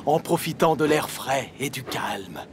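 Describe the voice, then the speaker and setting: An actor voicing a gentle, slightly fretful older tram engine who likes quiet, in a French children's cartoon dub. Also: male voice, mid-range voice, expressive, a little slow, over music, close to an everyday voice. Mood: calm, kindly.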